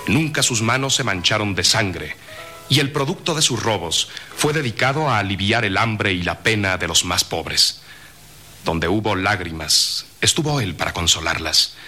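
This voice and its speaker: A low-voiced man narrates an old radio serial with a very forceful, ringing tone. His delivery is solemn and declamatory, with faint music behind him. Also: expressive. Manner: solemn, declamatory, measured phrasing.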